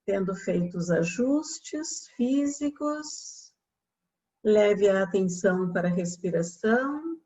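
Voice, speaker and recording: A Brazilian woman guiding a relaxation meditation as a yoga instructor, speaking calmly and soothingly with long pauses. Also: sits high.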